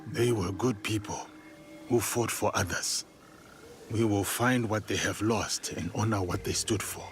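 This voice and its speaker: Male actor as game companion: earnest, measured and hesitant, slightly low-pitched, unhurried delivery. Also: lightly acted. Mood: solemn, supportive. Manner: slow, hesitant, thoughtful reader. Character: calm, earnest male companion translating an old journal. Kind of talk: video game cutscene acting. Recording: music under the voice.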